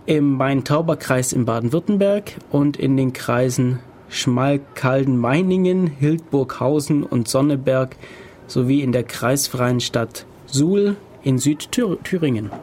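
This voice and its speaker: A man's voice: mid-range voice, lively intonation, darkish tone. Brief: male podcast host, casual, reads text aloud with stumbles, faint noise behind. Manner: reads aloud haltingly, stumbles over words.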